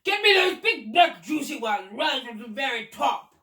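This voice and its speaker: A woman's voice: high voice, lively intonation, a little slow, voice pushed up. A woman voicing a pompous, easily enraged old Duke in a storybook reading. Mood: demanding. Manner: bellowing, pompous, indignant upper-class exclamations. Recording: roomy echo, distant from the mic.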